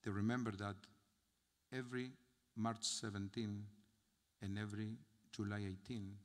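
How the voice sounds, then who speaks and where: An older man with an Argentine Spanish accent, a rabbi giving a solemn memorial sermon from notes, with measured phrasing and heavy pauses. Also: on the low side, flat delivery. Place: large synagogue sanctuary. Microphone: gooseneck podium mic. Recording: long hall reverb from the sanctuary.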